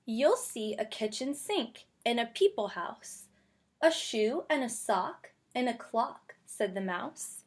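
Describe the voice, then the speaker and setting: A woman's voice: on the high side, lively intonation, slow. A woman kindergarten teacher giving a read-aloud lesson, speaking simply and instructively and addressing young children directly. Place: bedroom at home.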